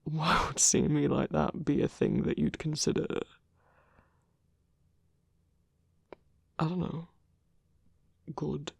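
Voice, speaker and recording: A man plays a gruff werewolf boyfriend in an intimate ASMR audio roleplay. He talks slowly and haltingly in a gravelly, husky voice, bashful under the grumpiness. The sound is close and dry. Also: fairly high pitch, fairly even intonation, dry recording, full character voice. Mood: gruff, flustered. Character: grumpy but tender, hesitant male werewolf lover in human form.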